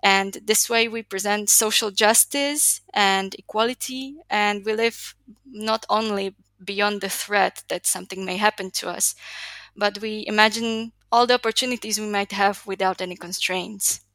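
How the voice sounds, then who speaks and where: A young woman answers interview questions in a bright, forward voice with some creak at the edges. Her intonation stays fairly even, and she phrases things earnestly and articulately, in formal vocabulary. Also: medium pitch, normal speed, no room sound. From Slovakia. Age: 20s. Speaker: podcast guest, youth leader.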